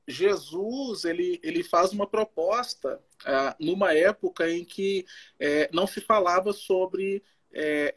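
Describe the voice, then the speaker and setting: A man with a husky voice, speaking informally as a missionary pastor in a halting way, often checking in with his listener. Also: high-pitched. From Belo Horizonte, Brazil. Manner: halting, frequent tag questions to the listener. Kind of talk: informal testimony and Bible teaching. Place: live social media video call.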